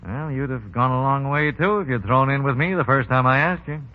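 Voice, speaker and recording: A man's voice: medium pitch, a little fast, natural voice. A man acting a shrewd American racketeer boss in a 1930s radio drama, with slangy, sardonic, commanding talk. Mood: persuasive. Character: smooth, shrewd male racketeering crime boss. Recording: dull 1930s radio broadcast.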